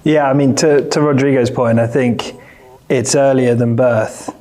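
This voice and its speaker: A man's voice: medium pitch, steady tone, measured pace, dark tone. A man chatting casually in a group, heard in a roomy, distant recording. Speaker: panel participant. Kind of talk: casual chat. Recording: roomy and distant, background noise.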